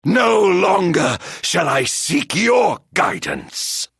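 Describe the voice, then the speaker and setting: A man's voice: high voice, wildly swooping pitch, drawn-out words, creaky, a bit bright, breathy edge, dry recording, clean studio sound, heavily acted. An actor playing a theatrical, vengeful fallen king turned immortal villain in a video game, with grandiloquent, ornate diction. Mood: vengeful, solemn. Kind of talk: dramatic video game voice acting.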